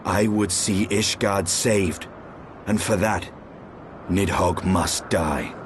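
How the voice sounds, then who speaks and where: A proud dragon-slayer speaks in stern, measured, archaic phrases for a fantasy game. His voice sits deep, with faint noise behind it. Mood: resolute. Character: grim proud dragon-slaying knight, resolute and blunt.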